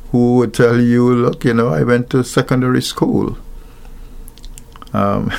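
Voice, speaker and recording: A man from Guyana, likely older, talking thoughtfully about marriage, pausing often with fillers and restarting sentences. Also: on the low side, gravelly. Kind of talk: reflective talk on marriage.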